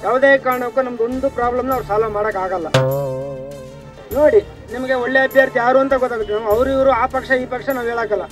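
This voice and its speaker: Rapid, very high-voiced man. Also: steady tone, over music. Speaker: member of public interviewed. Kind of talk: street interview opinion.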